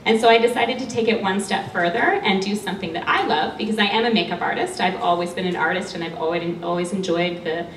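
A Canadian woman in her thirties with a loud voice, giving a passionate talk with a handheld mic to a small audience. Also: lowish voice, fast talker, hardly any pauses. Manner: passionate, emotional, laughs often. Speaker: guest speaker, cosmetics maker. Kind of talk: public talk at a panel event. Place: small studio event room with an audience. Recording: roomy sound from a far camera, faint hum.

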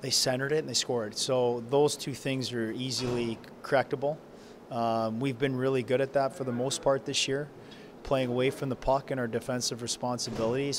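Guy coach at a post game presser, monotone, lots of uh and um, mics right up close. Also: mid-range voice, background noise. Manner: halting, many fillers and restarts, subdued. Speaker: head coach. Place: press room backdrop. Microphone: handheld reporter mics held close.

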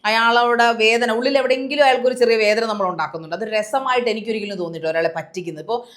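A woman's voice: on the high side, very fast. A woman giving conversational, emphatic commentary as a discussion panelist, mixing in English words.

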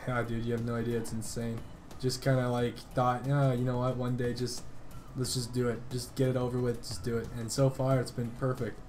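A man's voice: medium pitch, little pitch movement, brisk, a little mellow, a little hiss or hum. A man chatting casually on a call, rambling in loose slang with plenty of swearing. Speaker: gaming video creator making a prank call. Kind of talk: casual phone chat.

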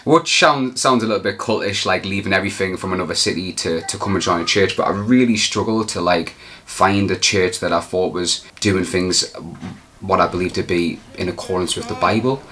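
Young preacher, very low voice with a bright edge, hesitant interview talk. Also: male voice, a little fast, a little hiss or hum. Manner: reflective, hesitant with restarts. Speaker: street preacher. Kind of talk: documentary interview.

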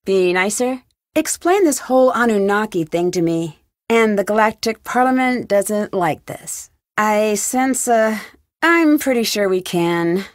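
A woman's voice: mid-range voice, animated, a little slow, dry recording, studio quality, natural voice. A woman playing an inquisitive, lightly wry young female detective in video game dialogue. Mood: curious, friendly. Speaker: video game lead character. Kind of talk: video game dialogue, separate questions and replies.

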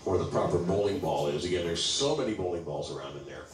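A middle-aged man with a fairly low voice calls a bowling match in a wry, chatty play-by-play manner. He sounds roomy and a little away from the mic. Music plays in the background. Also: expressive, fast talker, recorded from a distance, a little hiss or hum. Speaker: play-by-play commentator. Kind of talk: sports broadcast commentary.

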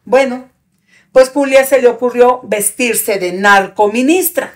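Old lady in her 80s ranting hard, swearing a lot, kinda high voice, podcast mic up close. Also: unhurried. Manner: fiery, profane, indignant ranting. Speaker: podcast guest. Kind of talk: heated interview talk. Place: small studio with brick wall and sofa. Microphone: podcast mic on a stand in front.

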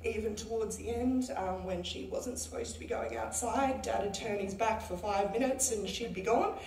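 A woman's voice: mid-range voice, normal speed, darkish tone. A young woman speaks casually at a chapel lectern, giving a eulogy for her grandmother with frequent fillers. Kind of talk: memorial eulogy. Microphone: lectern mic, heard from a far camera. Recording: roomy chapel echo from far off.

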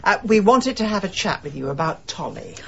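A headstrong British amateur sleuth in a radio drama. She is assertive, impatient and wry, with a swooping, animated delivery. The sound is slightly dull, with some noise behind it. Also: mid-range voice, a little fast, creaky. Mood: probing.

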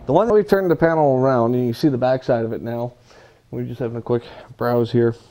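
A man giving hands-on instruction in a workshop, talking viewers through his work in a relaxed, practical way while he works at the bench. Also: mid-range voice, fairly quick. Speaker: aircraft builder demonstrating.

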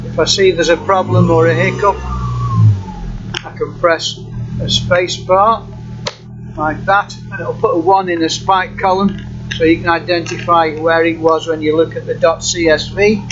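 A man with a slightly high voice talks through a software demonstration informally and without a script. He is matter-of-fact and a little halting. The recording is muffled, with a loud engine hum behind him. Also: level tone. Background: running car engine hum.

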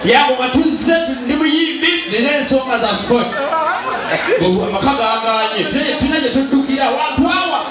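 A man's voice: extremely high pitch, brisk, loud music behind the voice. A man with a Ugandan accent performing live comedy sketch banter on stage through a PA. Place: open-sided night venue with stage. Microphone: handheld microphone through PA. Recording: echoey distant PA, loud crowd.

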